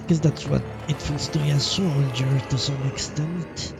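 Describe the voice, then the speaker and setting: Man muttering through game commentary over loud music. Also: fairly high pitch, fairly even intonation, moderate pace, gravelly. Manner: swearing, thinking aloud, pessimistic. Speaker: game streamer. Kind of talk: live gameplay commentary, casual muttering. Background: loud video game music and sound.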